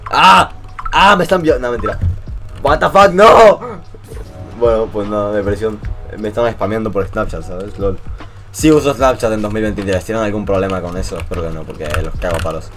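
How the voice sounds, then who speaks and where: A young man from Venezuela with a mixed Latin American and Spanish accent, commenting casually over his gameplay in an animated, swearing, joking rant. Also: fairly low pitch, moderate pace, soft background music. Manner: animated ranting, swears, jokey. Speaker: gaming YouTuber.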